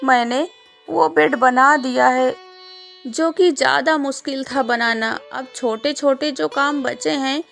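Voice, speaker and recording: A woman plays a poorly little female bird in a cartoon tale. She pleads politely in a high, weak voice, slowly, over music. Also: gravelly, heavily acted. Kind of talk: children's cartoon story voicing.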